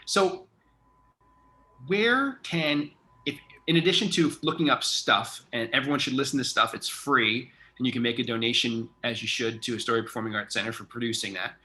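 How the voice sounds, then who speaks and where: A man's voice: mid-range voice, moderate pace, bright, forceful tone. A man hosting a casual interview in an informal, chatty, effusive way. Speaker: interview host, theater artistic director.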